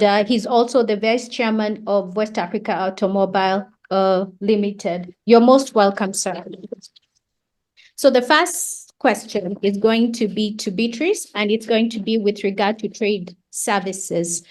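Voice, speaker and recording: A woman's voice: mid-range voice, steady tone, measured pace, darkish tone, rough recording. A woman with a Kenyan accent moderating a webinar, reading panelist introductions with frequent hesitations over a video call.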